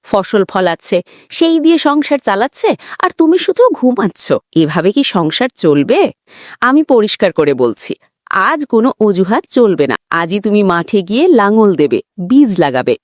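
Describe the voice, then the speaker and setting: A woman's voice: medium pitch, big pitch swings, moderate pace, dry recording, clean studio sound, natural voice. A woman voicing a bossy, scolding farmer's wife in a cartoon story, firm and nagging. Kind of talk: dubbed cartoon dialogue.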